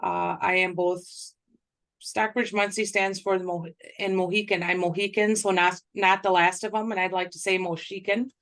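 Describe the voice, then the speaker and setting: Woman, keynote speaker, low pitch, gravelly fry, hesitant fillers. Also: little pitch movement, normal speed. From North America. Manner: soft, hesitant with many fillers. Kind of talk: keynote talk.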